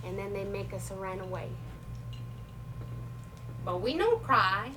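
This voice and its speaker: A woman playing a feisty, stubborn elderly Italian immigrant grandmother in a comic stage monologue, speaking blunt, halting broken English with an Italian accent. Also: medium pitch, expressive. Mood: resolute, reflective. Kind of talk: live comedic stage monologue. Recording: distant mic, noisy live room.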